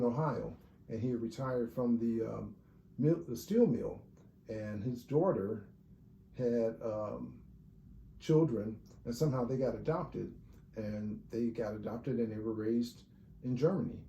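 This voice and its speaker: A man chatting casually about family ancestry, pausing often and restarting as he explains details. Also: mid-range voice, warm tone, slight background noise. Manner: halting, many fillers and restarts while recounting genealogy. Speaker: relative talking about family research. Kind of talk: casual conversation. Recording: echoey room.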